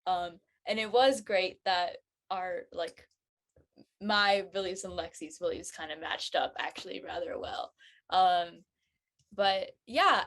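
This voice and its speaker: A woman performer talks in a medium-pitched voice. Her delivery is informal and conversational, loosely phrased as in a relaxed chat after a show. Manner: informal, youthful phrasing peppered with "like". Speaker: performer in a role-play show. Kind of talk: casual post-show chat.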